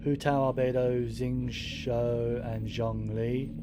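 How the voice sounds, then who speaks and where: A man's voice: mid-range voice, level tone, slow. A man giving casual gaming commentary, thinking aloud in a muttering way and swearing casually. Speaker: game streamer. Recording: game music behind the voice. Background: game music.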